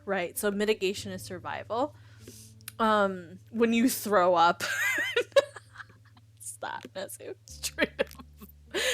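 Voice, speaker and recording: A young woman with a Midwestern American accent, chatting casually with her stream viewers, giggling and rambling as she reads chat aloud. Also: medium pitch. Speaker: Twitch streamer. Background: faint music.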